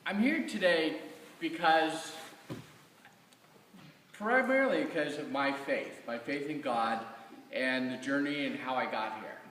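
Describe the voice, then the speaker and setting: A middle-aged man with an American accent gives an informal, earnest personal testimony to a congregation in a halting, conversational way. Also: on the high side, a bit bright, a little hiss or hum. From Kansas, United States. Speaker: church member giving a stewardship talk. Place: large reverberant room, speaking from off the mic. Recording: echoey church hall, distant mic.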